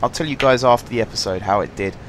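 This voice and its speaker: A man with a sore throat, slightly husky, casually commenting on a game through a headset, rambling and apologetic with many ums. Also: medium pitch, fast talker, slight background noise. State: sore throat, fighting coughs. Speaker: gaming channel host. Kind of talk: casual let's-play commentary.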